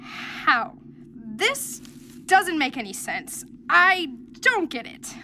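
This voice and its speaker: A very high, bright cartoonish young sheep, proud and naive. She whines and protests with excitable indignation, taking her time over the words in a children's audio drama. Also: very slow, a little hiss or hum, heavily acted. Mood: confused, stubborn.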